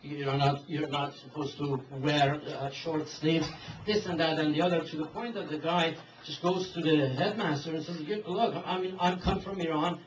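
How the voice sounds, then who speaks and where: A man telling a story and then putting a question to a speaker, halting with many fillers and restarts. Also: on the high side, steady tone. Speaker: interviewer. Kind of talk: hesitant spoken question to a speaker. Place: event hall. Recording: distant mic, dull, roomy hall with hiss.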